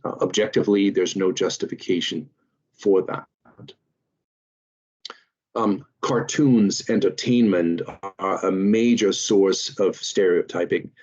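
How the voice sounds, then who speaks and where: A man from Germany with a German accent, giving an online lecture as series host in a measured way with many fillers. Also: sits low, darkish tone, muffled recording. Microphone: video call.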